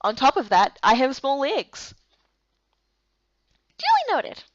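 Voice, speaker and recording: A small, eager brownie girl for a visual novel. The voice sits very high and swings up and down with chatty, bubbly enthusiasm. The recording is close and a little dull. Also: huge pitch swings, slow pace, full character voice. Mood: chirpy, eager.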